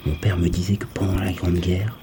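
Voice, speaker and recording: A man playing a weary resistance fighter in an audio drama, hushed and grumbling. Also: deep voice, flat delivery, brisk, heavy background noise, natural voice. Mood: hushed unease.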